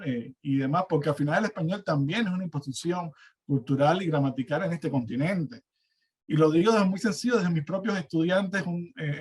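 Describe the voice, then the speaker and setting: A man's voice: on the high side, husky. A man with a Cuban accent speaking as an academic panelist in a spontaneous discussion, hesitating and repeating words as he reasons. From Cuba. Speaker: academic panelist and university teacher. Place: online seminar, remote call.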